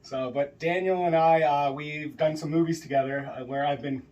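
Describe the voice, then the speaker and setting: A man's voice: fairly high pitch, fairly even intonation, moderate pace, recorded from a distance, muffled recording. A man hosting a casual podcast interview at a friend's home, chatty and joking, with lots of fillers. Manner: loud, jokey, filler-heavy with many yeahs. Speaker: podcast host.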